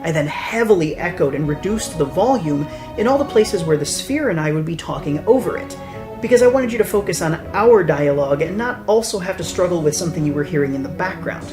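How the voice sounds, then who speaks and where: A woman with a slightly low voice narrates behind the scenes as a filmmaker, in a prepared explanatory style. Music plays clearly behind the voice. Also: animated, brisk. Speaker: writer and filmmaker. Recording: voice over clear background music.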